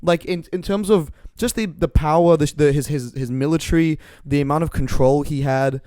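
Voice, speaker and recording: Raspy-ish dude on a podcast, rambly, laughs a lot, dry close mic. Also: male voice, fairly high pitch, normal speed, dark tone, no echo. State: slightly raspy voice. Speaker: podcast co-host. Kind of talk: casual podcast chat.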